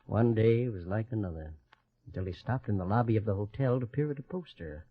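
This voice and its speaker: A man's voice: fairly low pitch, expressive, fairly quick, slightly rough. A man narrating a vintage radio drama in a solemn, lyrical, scripted storytelling style. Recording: muffled vintage radio recording.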